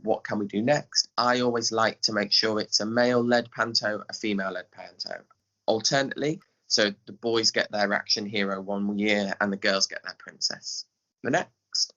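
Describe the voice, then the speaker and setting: A man's voice: lowish voice, fairly even intonation, moderate pace, bright, forceful tone. An English man speaking as an interview guest, explaining things in a chatty, informal way. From Skegness, England. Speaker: podcast guest, theatre director. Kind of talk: casual explanatory chat. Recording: dull, lo-fi audio.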